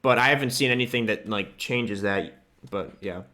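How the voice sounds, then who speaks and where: A man's voice: on the low side, moderate pace, pushed and bright. Casual man talking through a screen tutorial. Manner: informal, loose and offhand, occasional swearing. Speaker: host of a game modding tutorial. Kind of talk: casual screen-capture tutorial, thinking aloud while working.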